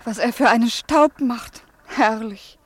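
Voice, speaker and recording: A woman's voice: a little high, measured pace, slight background noise, close to an everyday voice. Wistful young sister played by a woman. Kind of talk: German radio play acting.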